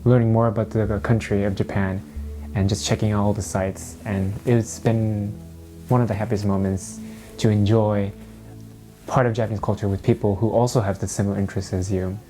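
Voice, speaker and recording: Upbeat, mellow-voiced Californian. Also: sits low, flat delivery, a little fast, soft background music, poor audio. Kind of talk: casual interview answers.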